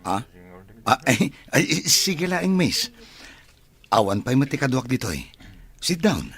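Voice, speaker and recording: A man's voice: medium pitch, very animated, a bit bright, slightly rough, exaggerated voice. A man with a Filipino accent playing a mild, shy bachelor son, hesitant and earnest, in an audio drama. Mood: bashful. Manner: hesitant, halting. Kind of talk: amateur audio drama acting.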